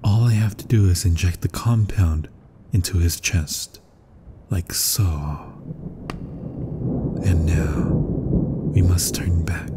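Obsessed mad scientist, low-pitched, slow dramatic pacing, grandiose, half-whispering, gothic horror ASMR roleplay. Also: male voice. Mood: rapturous anticipation. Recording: thunderstorm and rain noise. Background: rain and thunder ambience.

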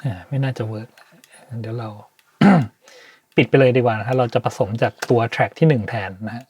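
A man hosting an informal software tutorial, speaking politely and hesitantly as he thinks aloud. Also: mid-range voice, steady tone, moderate pace, slightly rough. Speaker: tutorial host, sound designer.

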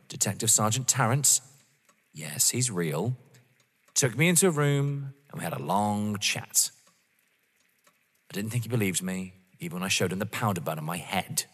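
Man acting defiant contemptuous rival, raspy-edged husky voice, emphatic, audio drama, long echoing reverb. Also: fairly low pitch. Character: defiant, contemptuous male rival, wounded but resolute. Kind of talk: dramatic stage-play acting.

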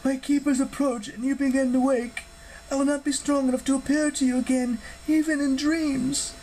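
A man voicing a grave, urgent imprisoned goddess in an audiobook, speaking solemnly and deliberately as if delivering prophecy. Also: extremely high pitch, level tone, a little mellow, slight background noise, pitched above the performer's own voice, low-quality recording. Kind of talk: audiobook character acting.

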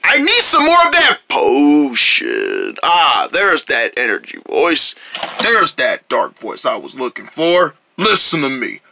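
A man's voice: fairly high pitch, wildly swooping pitch, slow, creaky, sharp tone, a little hiss or hum, full character voice. A man voicing a smug, laid-back male cartoon character who drawls in slang. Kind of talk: amateur character skit.

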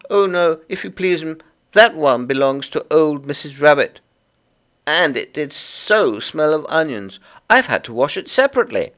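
A very high, swooping voice for a stout little hedgehog washerwoman , acted out by a man. She is fussy and flustered, always polite and eager to explain, like a deferential servant from a children's storybook. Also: big pitch swings, measured pace, a bit bright. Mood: chatty, fussy. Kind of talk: storybook character voice.

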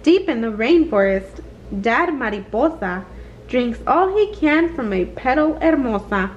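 A woman reading a children's picture book aloud in an expressive, sing-song way. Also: fairly high pitch, unhurried, muffled recording, slight background noise. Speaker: storybook reader.

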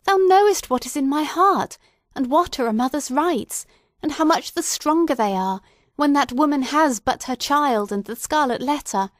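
A woman's voice: high voice, moderate pace, dry recording, studio quality, pitched above the performer's own voice, natural voice. Woman voicing a fierce, desperate young mother. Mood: pleading. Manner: impassioned, near shrieking. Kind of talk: audiobook character reading.

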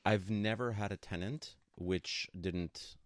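A man's voice: fairly low pitch, takes it slowly, no echo, clean studio sound. A man co-hosting a conversational radio advice show, speaking in an emphatic, assertive way and repeating points for stress. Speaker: radio show co-host and broker. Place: radio studio.

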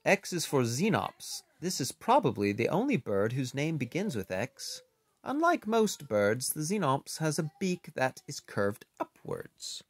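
A man reading a children's picture book aloud in an expressive, unhurried way, with casual, playful asides. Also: a little high, slightly rough, no room sound.

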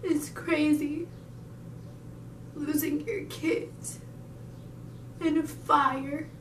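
A woman playing a grieving, unhinged mother in a dramatic monologue, halting and emphatic as she confronts her psychiatrist. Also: fairly even intonation. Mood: hushed, bitter. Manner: halting, emphatic, swinging between hushed and wailing. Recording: roomy, distant mic, noisy with rumble.

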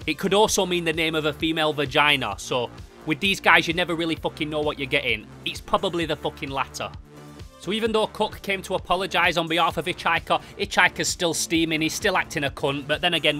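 A man's voice: fairly high pitch, fast talker, sharp tone, faint music behind. A young man hosting an enthusiastic comic review, narrating in an emphatic, sweary way. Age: young adult.

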